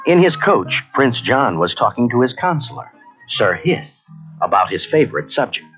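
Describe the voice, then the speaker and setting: Animated male storybook narrator. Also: medium pitch, normal speed. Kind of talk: scripted children's read-along narration. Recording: dull cassette, faint music behind.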